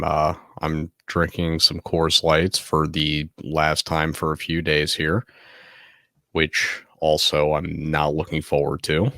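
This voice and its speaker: Man, 30s, podcast host, very deep voice, soft close-mic delivery, dry sound. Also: gravelly, no echo. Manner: speaking softly and close to the mic, laid-back and candid. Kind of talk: casual late-night solo podcast. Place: home at night. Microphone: close to the microphone.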